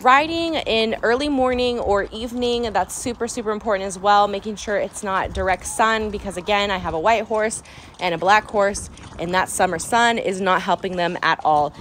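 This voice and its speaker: A woman's voice: medium pitch, normal speed, fairly bright tone, slight background noise. Chatty young woman vlogging from a barn. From San Diego, California. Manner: chatty, enthusiastic, repeats "super important". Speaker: horse owner vlogger. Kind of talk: casual vlog narration. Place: outdoor horse barn.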